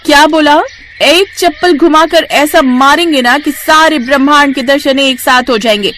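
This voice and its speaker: A woman acts out a bold young village bride from a comic storybook tale. She is high-pitched and brash, with a rustic eastern Hindi accent and a naive, folksy way of talking. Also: normal speed, a bit bright, a little hiss or hum. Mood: brash, scolding.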